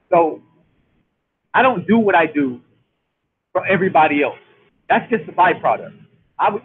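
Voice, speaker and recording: A man's voice: high voice, husky. A man in his late forties hosting a motivational talk show, preaching with emphatic repetition over a phone line. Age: 40s. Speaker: show host. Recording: tinny phone-line sound.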